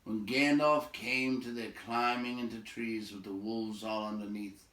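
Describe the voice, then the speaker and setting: A man reading a story aloud in an expressive narrating style. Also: on the low side, unhurried. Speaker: story reader. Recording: roomy echo, distant from mic.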